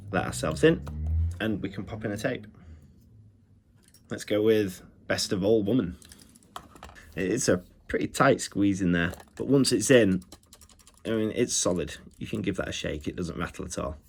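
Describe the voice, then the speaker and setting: A man's voice: low-pitched, normal speed, creaky, pauses often, slightly rough. A man hosting a casual hands-on product review, chatting enthusiastically about small details. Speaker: retro electronics review host.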